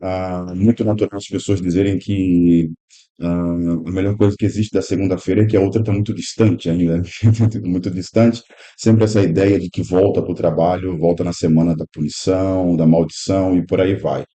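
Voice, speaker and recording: Deep, dark-voiced man in his thirties. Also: steady tone, normal speed. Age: 30s. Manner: reflective, explanatory, speaks in long run-on phrases with frequent "né?". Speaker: guest pastor and teacher. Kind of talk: podcast conversation, teaching. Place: improvised room at a pastors' convention.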